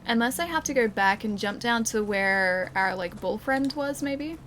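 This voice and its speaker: A woman's voice: on the high side, animated, moderate pace, fairly bright tone, a little hiss or hum. A young woman streaming a video game, chatting casually with her viewers, laughing often and restarting her sentences. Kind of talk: casual gameplay commentary, chatting with live chat.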